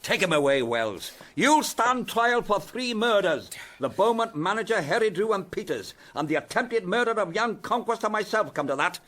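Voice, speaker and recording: A British Scotland Yard type in a vintage detective radio play. His voice is high for a man, and he speaks in a bluff, brisk, wry manner. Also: big pitch swings, fairly bright tone. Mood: stern. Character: brisk, bluff British male police superintendent, good-humoured. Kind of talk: period detective audio drama.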